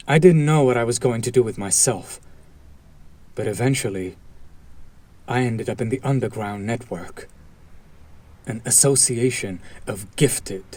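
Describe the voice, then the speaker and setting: A man playing a bitter, protective fallen hero turned villain in a dramatic audio roleplay, speaking in a deliberate, sardonic, theatrical way. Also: on the low side, darkish tone. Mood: explaining, earnest. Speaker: voice actor. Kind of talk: dramatic audio roleplay monologue addressed to listener.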